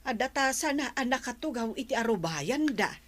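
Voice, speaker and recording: A woman's voice: on the high side, lively intonation, moderate pace, dry recording. A woman playing a nosy, chatty village neighbour in a radio comedy drama, with an Ilocano accent. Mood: gossipy.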